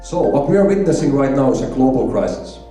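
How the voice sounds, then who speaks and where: A man from Finland gives an emphatic business presentation, driving home his figures by repeating them. His voice is mellow and dark-toned, heard from a distance in a very echoey hall with faint music behind. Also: medium pitch, animated, fast. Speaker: conference presenter. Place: large conference hall.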